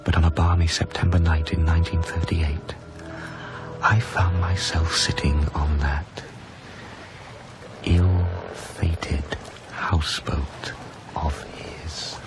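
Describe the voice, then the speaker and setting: An actor playing a grieving, wistful lover, narrating a radio drama in a hushed, measured, brooding manner. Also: male voice, deep voice, flat delivery, over loud music, poor audio. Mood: regretful. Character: grieving, wistful former lover recounting a haunted past.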